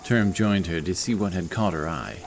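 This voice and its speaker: A man's voice: low-pitched, fairly quick, a little hiss or hum. A man reading scripted fantasy narration as a storyteller, with music behind his voice.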